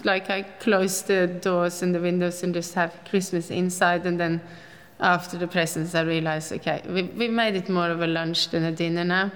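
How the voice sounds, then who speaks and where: A woman's voice: lowish voice, level tone, normal speed. A woman in her fifties from Norway, speaking with a Norwegian accent in a casual interview and telling stories in a chatty, rambling way. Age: 50s. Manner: chatty, rambling with restarts and laughs.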